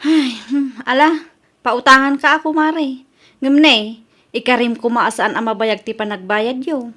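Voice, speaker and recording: A woman's voice: high-pitched, fairly even intonation, unhurried, fairly bright tone. A woman acting a cautious village neighbour reluctant to lend money, in an Ilocano comedy radio drama with an Ilocano accent.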